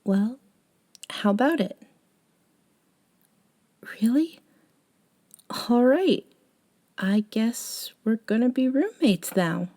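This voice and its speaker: A woman playing a gentle, eccentric young woman, a caring friend, in an intimate ASMR audio roleplay, soft-spoken with hesitant pauses. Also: a little high, lively intonation, heavily acted. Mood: amused, wry.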